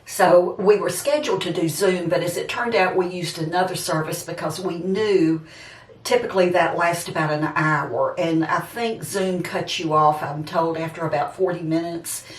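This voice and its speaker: A woman's voice: fairly low pitch, normal speed. A woman in her seventies chatting casually and a bit ramblingly to her viewers, addressing them directly. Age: 70s, seventy-six. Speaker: vlogger. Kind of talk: casual personal chat to viewers. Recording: roomy echo, distant microphone.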